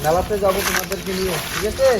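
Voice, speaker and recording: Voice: medium pitch, steady tone, racing, very noisy, natural voice. Someone playing a village uncle. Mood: instructing, friendly. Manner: colloquial, instructing, repeats short check-in questions. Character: village uncle, caring elder who leads children to gather sticks. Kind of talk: cartoon voice acting. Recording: loud music under the voice.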